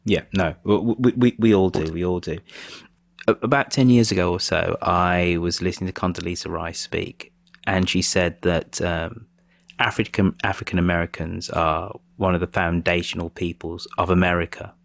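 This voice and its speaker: A man hosting a political podcast, speaking in a measured, thoughtful way. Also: low-pitched, fairly even intonation, vocal fry, dry recording. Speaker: podcast host.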